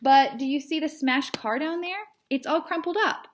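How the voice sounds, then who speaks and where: A patient male cat from a children's storybook, voiced by a woman. He explains carefully in a raised pitch, a little hesitant. He sounds sensible and quietly exasperated. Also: normal speed, a little hiss or hum, lightly acted. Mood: incredulous. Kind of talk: children's storybook character read aloud.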